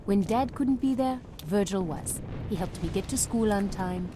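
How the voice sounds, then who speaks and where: A woman's voice: mid-range voice, animated, normal speed, noisy background, close to an everyday voice. An actress playing a plucky, anxious young woman on the run in an audio drama, urgent with wry asides. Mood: wry, reflective.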